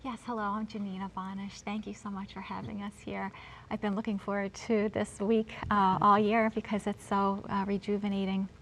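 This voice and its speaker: A woman in her fifties introducing herself as an interview guest, with faint rumble behind her. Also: little pitch movement, normal speed, fairly bright tone, poor audio. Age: 50s. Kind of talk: personal introduction in conversation.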